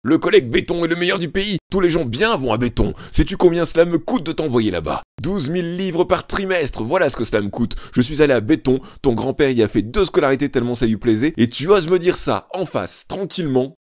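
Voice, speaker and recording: A man reading aloud who voices a tyrannical, pompous middle-aged father who blusters and shouts. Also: on the low side, big pitch swings, brisk, dry recording, clean studio sound, voice pushed up. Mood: ranting.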